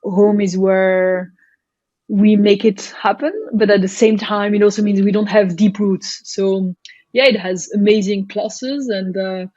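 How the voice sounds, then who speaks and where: A woman's voice: medium pitch, steady tone, a little slow, darkish tone, no echo, highs cut off. Thoughtful woman guest from Belgium. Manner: thoughtful, hesitant, many fillers and restarts. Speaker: guest, startup founder. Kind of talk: casual interview chat.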